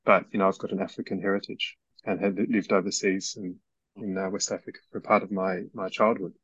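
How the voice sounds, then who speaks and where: Low-voiced man, dull dry sound. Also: level tone, a little fast, fairly bright tone, husky, dry recording. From Australia. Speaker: researcher in discussion. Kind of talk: casual discussion.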